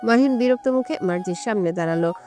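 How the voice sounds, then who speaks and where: A woman's voice: medium pitch, quick pace, warm tone. A woman reading a romantic audio story as scripted narration. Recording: music bed under the voice. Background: music.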